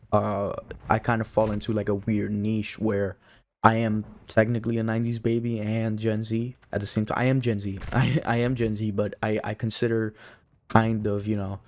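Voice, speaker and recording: A young man in his late teens with a fairly low voice and very even pitch. He talks to his viewers in a casual, informal ramble. His sentences wander and are dotted with "you know" and "like". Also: gravelly. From Cuba. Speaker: video creator.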